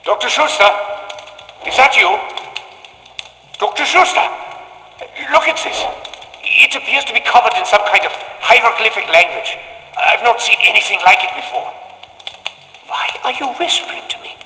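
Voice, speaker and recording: Curious scientist, swooping theatrical delivery, long echoing reverb, talking to himself, video game drama. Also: male voice, very high voice, expressive, moderate pace, pauses often, pushed and bright, heavily acted. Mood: puzzled, urgent. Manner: theatrical, exclaiming, talking to himself while examining. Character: awed, curious German scientist exploring a dark alien cave. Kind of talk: video game audio log acting. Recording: very echoey, long cavernous reverb.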